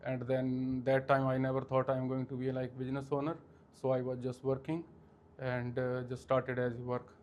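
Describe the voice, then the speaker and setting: A man in his forties from India with an Indian accent, answering interview questions in a halting way with many fillers. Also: medium pitch, monotone, a little mellow. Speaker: guest, franchise owner.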